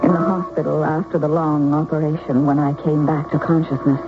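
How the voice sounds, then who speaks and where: A woman's voice: sits low, fairly even intonation, brisk, narrow, tinny audio. A woman playing a lovesick, intense young woman who tells of her doomed romance in a dramatic, confessional radio drama style. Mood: wistful, confessional. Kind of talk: old-time radio drama, first-person narration and dialogue. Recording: thin old radio broadcast, music behind.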